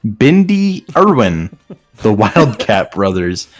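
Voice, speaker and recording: A man's voice: fairly low pitch, big pitch swings, slow, dry recording. A man reading aloud and riffing on it casually with friends, in a joking, sarcastic way. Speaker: host reading and mocking fan fiction. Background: faint background music.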